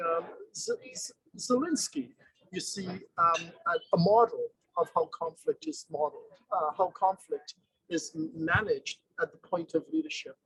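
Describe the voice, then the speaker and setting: A man, a summer program teacher, presenting his class outdoors over a video call in an earnest way, posing rhetorical questions with thoughtful pauses. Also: high voice, vocal fry, a bit bright, breathy edge, no echo, poor audio. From born in Guyana, raised in England.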